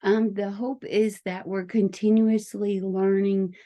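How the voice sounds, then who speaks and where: A woman who speaks English natively, giving an informal explanatory lecture as an educator in a careful, halting manner. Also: medium pitch, level tone, warm tone. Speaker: teacher educator explaining a learning principle.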